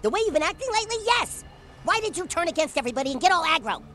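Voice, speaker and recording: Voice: very high-pitched, very animated, rapid-fire, pushed and bright, exaggerated voice. A voice actor playing an earnest cartoon boy who confronts a friend, over game music. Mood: accusing. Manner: accusing, earnest.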